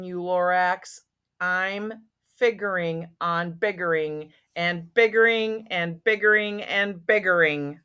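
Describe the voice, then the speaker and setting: A woman's voice: medium pitch, a bit bright, smooth. A woman reading the part of a stubborn, greedy male factory owner who blusters defiantly.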